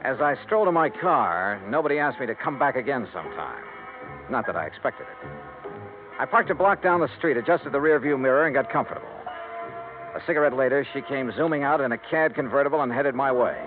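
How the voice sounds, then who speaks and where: An actor playing a wry, hard-boiled insurance investigator who narrates dryly and sardonically in 1950s radio noir style. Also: male voice, mid-range voice, expressive, brisk, natural voice. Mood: wry, brooding. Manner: dry, sardonic, clipped. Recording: old radio broadcast, tinny, music behind.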